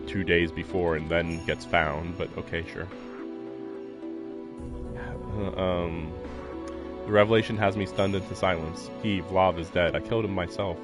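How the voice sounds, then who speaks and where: A man reading a visual novel aloud as a streamer, dropping in casual, wry asides. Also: low-pitched. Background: music.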